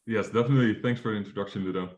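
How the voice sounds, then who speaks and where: A young man from the Netherlands walks webinar viewers through mortgages in a loose, hesitant way, with plenty of fillers and restarts. His voice sits very low. The sound is roomy and echoey. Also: steady tone, very fast, darkish tone, lo-fi sound. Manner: casual, frequent fillers and restarts. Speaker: mortgage advisor. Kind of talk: webinar presentation.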